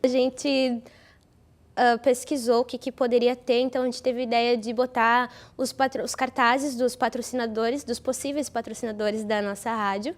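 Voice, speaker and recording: A woman's voice: a little high, fairly even intonation, moderate pace. A woman, an actress explaining creative choices in an interview. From Brazil. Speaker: stage actress.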